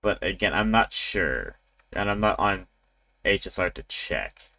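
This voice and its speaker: Low, creaky-voiced man streaming a game. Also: expressive, a little slow, sharp tone. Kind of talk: casual live gameplay commentary. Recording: game music faintly behind. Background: faint video game music.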